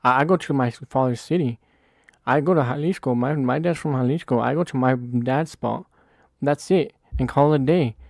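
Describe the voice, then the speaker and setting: Blunt guy cussing a lot, restarts words, dry sound, normal pitch. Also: normal speed, gravelly, a little mellow, no room sound. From United States. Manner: blunt, profane, repeats words. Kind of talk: heated casual discussion.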